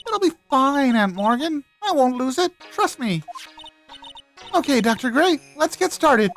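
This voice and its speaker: A woman's voice: sits high, sing-song, measured pace, soft background music, voice pushed up. A woman voicing a cheerful, carefree young girl spirit medium in a video game, breezy and reassuring.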